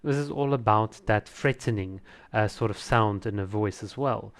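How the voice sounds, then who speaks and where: A man's voice: fairly low pitch, normal speed. A man reviewing a video in casual commentary, repeating words for emphasis.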